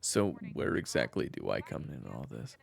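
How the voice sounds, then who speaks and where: A man playing a jaded, dryly sarcastic former thief in an audio roleplay, with a deadpan manner. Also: very deep voice, moderate pace, creaky, full character voice. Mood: weary. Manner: deadpan, dry humor. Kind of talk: audio roleplay acting, phone conversation.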